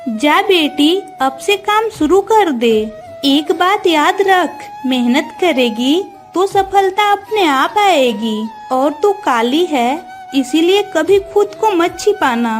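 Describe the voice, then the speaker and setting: A woman voicing a kind, encouraging, motherly mistress in a Hindi moral-story cartoon, with emphatic, deliberate delivery. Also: very high-pitched, lively intonation, soft background music, exaggerated voice.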